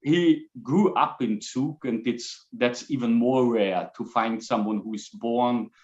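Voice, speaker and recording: A middle-aged man tells his story in careful, English, stopping often on "uh" and "um" and restarting sentences. His pitch sits in a middle range, with small dry jokes along the way. The sound is a little dull and muffled. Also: a little mellow, echoey room. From Austria. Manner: halting, many fillers and restarts, dry humor. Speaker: guest, company founder. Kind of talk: casual interview answers.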